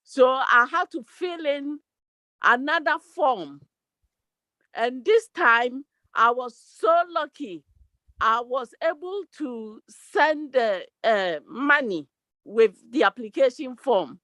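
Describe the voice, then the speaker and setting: A distressed woman calls in and explains her problem slowly, pleading for help. Her voice is bright and tense and sits a little high. The sound is dry, with no room echo. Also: expressive. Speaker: caller.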